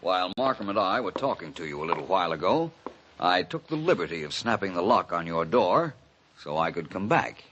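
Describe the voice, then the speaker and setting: An actor playing a cool, shrewd, urbane detective in an old-time radio mystery. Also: male voice, low-pitched, big pitch swings, a little fast, a bit bright, dull audio. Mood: cool, pressing. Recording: noisy old radio recording.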